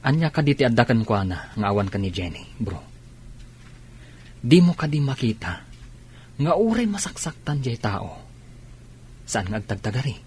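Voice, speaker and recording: A man's voice: mid-range voice, big pitch swings, moderate pace, pauses often, darkish tone, slight background noise, close to an everyday voice. An actor playing a frank, concerned male friend in a radio drama, with a casual coaxing manner. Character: concerned, frank male buddy giving advice.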